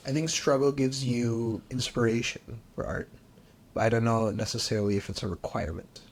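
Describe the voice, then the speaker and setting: A man's voice: mid-range voice, a little fast, creaky. A young man in casual podcast chat, loose and opinionated, with many fillers.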